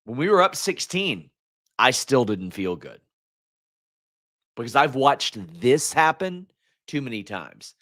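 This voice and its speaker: A hoarse man hosts a solo sports stream and vents about his team like a fed-up fan. His voice sits at an ordinary middle pitch, and he stumbles and restarts when he gets worked up. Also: sing-song, slow, long pauses, a bit bright. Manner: frustrated ranting fan, restarts mid-sentence. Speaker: host.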